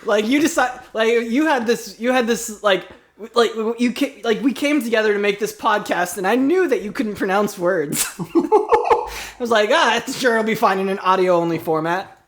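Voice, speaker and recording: A podcast host doing improvised comedy banter, halting and self-correcting while making it up on the spot. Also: mid-range voice, lively intonation.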